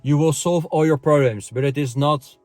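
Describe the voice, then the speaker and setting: A man's voice: fairly high pitch, normal speed, darkish tone, no echo, faint music behind. Dry-voiced European man musing casually. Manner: conversational, frequent "okay?" tag questions and fillers. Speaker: channel host. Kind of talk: casual opinion monologue to camera. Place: France.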